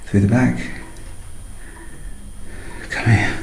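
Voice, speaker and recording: A man playing a calm, controlling British murderer in a radio thriller, with coaxing menace. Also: medium pitch, extremely slow, creaky. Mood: controlled, instructing. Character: cold, controlling male lover calmly staging a murder. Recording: echoey room with faint noise.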